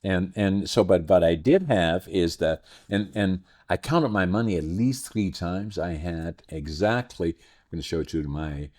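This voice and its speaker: Older man, very deep voice. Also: a little slow, darkish tone. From Netherlands. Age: 80s. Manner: rambling, storytelling, self-correcting. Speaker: podcast host. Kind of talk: casual interview chat.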